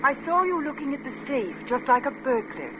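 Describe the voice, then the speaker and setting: High-voiced teasing young English lady. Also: very animated, fairly quick. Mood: light, teasing. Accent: upper-class British. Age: young adult. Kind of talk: 1940s-50s radio drama acting. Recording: dull, noisy old radio recording.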